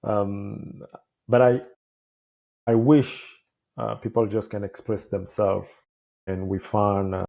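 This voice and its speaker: A man from Cameroon whose first language is French, hosting a podcast in English and speaking haltingly, with frequent restarts and repeated words. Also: low-pitched, gravelly, mellow. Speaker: podcast host. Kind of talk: casual conversation. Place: Montreal.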